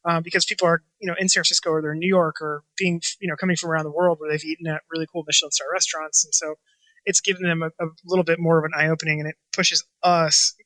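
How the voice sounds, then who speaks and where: Bright, quick-talking man, chatty and rambling. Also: fairly high pitch, level tone, no echo, rough recording. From Washington State, USA. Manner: rambling, many fillers and restarts. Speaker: chef and restaurant owner. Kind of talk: casual interview talk.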